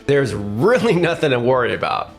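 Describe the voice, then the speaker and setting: A man voicing an overconfident, pushy young real estate salesman in an animated manga dub style. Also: medium pitch, moderate pace, creaky. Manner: cocky, persuasive, animated. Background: faint background music.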